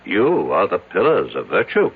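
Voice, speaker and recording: A man's voice: low-pitched, huge pitch swings, normal speed, breathy edge, phone-quality sound, exaggerated voice. A male actor with an American accent playing an arrogant, self-made, skeptical businessman in brash old-time radio drama style, on a noisy old recording. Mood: defiant. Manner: brash, self-assured, declamatory.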